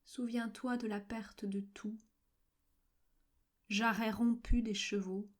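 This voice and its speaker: A woman reciting literary poetry in measured, fragmented phrases with frequent pauses, at times breathy and near-whispered. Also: a little high, little pitch movement. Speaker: poetry reader. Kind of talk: slow literary poetry recitation for a video poem.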